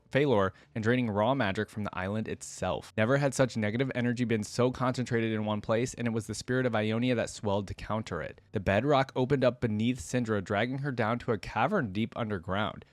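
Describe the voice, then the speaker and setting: A man's voice: fairly low pitch, brisk, few pauses, no room sound. A man hosting reaction videos, talking informally and conversationally as he comments and reads aloud. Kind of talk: casual reaction commentary mixed with reading aloud.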